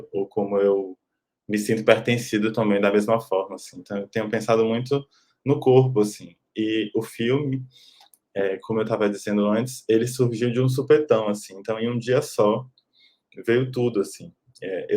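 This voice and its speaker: Low-voiced reflective man. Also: darkish tone. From Brazil, Salvador. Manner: reflective, hesitant, many fillers. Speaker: film director. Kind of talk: online film festival panel.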